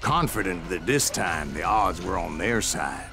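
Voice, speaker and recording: A gravelly, stubborn old gunslinger narrates his own legend for a game. His is folksy and self-assured, rising and falling expressively, with music playing behind him. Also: low voice, expressive, measured pace. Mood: bitter, determined.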